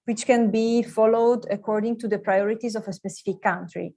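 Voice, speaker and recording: A woman giving an unscripted explanation as a panelist, halting and often rewording herself as she goes. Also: on the high side, steady tone, mellow. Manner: halting, self-correcting, tags phrases with "no". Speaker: UN secretariat officer, panelist.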